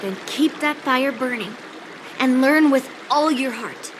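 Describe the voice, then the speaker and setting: A woman's voice: sits high, measured pace, noisy background. A woman voicing a proud, feisty young girl sword teacher in a video game, confident and blunt. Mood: earnest.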